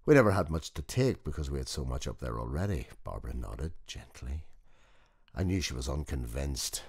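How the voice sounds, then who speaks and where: A man performing a dramatic audiobook monologue as an old working-class widower mechanic, gentle, proud and nostalgic, telling his story in a conversational way. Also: a bass voice, expressive, moderate pace, breathy edge, heavily acted. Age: older.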